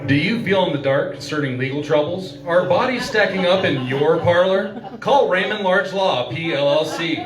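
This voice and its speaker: A man's voice: high-pitched, normal speed, hardly any pauses, a crowd in the background. A man reading a scripted radio commercial as an announcer in a live show. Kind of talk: scripted mock radio advertisement. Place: live stage performance in a venue. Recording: echoey room, distant mic.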